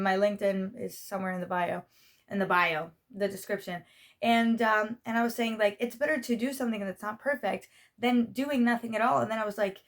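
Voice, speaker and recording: A young adult woman chatting casually in a vlog, with a slightly raspy voice and a rambling, self-interrupting manner. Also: on the high side. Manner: rambling, self-interrupting, frequent check-in tags. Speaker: vlogger.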